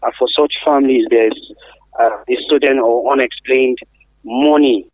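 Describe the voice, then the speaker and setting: A man's voice: medium pitch, fairly even intonation, measured pace, dry recording. A man with a Nigerian accent speaking as an expert guest in a radio interview, explaining things emphatically and often answering his own questions. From Africa. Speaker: guest expert on survivors of trafficking. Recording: thin, phone-like radio line.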